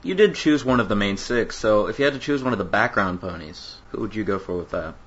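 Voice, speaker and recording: A man co-hosting an online radio interview, asking relaxed, conversational questions. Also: lowish voice, fairly quick, slightly rough, lo-fi sound. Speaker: radio show co-host.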